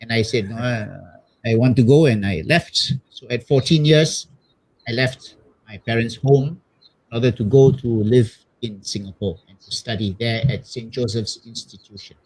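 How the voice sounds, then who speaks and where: A middle-aged priest from Malaysia with a Malaysian accent, telling personal stories casually and haltingly, often repeating words. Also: male voice, medium pitch, a little mellow, breathy edge, poor audio. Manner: hesitant, repeats words, tags with "you know". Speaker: missionary priest being interviewed.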